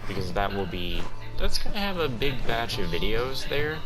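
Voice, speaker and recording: A man's voice: lowish voice, big pitch swings, moderate pace, rough recording. A man giving casual, offhand commentary as he plays through a video game. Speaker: gaming video host. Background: game music.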